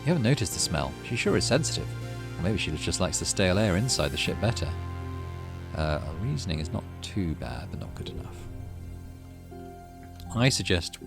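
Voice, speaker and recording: Low-voiced, animated male gamer reading aloud. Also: moderate pace, vocal fry, music in the background. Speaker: gaming channel host. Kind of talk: let's play narration, reading game text with casual commentary.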